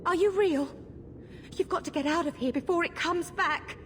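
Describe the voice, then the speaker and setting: A woman's voice: very high-pitched, normal speed, fairly bright tone. A woman playing a terrified, frantic victim pleading for her life in a video game cutscene. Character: terrified, pleading woman trapped in a haunted house. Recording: long hall reverb, faint noise.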